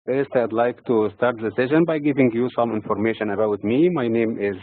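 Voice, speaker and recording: A man with an Egyptian accent delivers scripted market commentary at a mid-range pitch. His phrasing is measured and halting, with breaks inside sentences. The sound is dry and narrow-band, like a telephone. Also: dry recording. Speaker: financial markets commentator and trading teacher. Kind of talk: prepared market commentary, read from notes. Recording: tinny, phone-like narrow-band audio.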